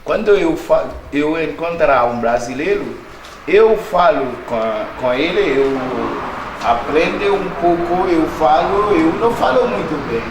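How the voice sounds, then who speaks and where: Slow, halting Haitian man. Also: fairly high pitch, animated, echoey room, noisy background. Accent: Haitian Creole. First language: Haitian Creole. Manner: halting, searching for words. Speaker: interviewee. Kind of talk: interview answers.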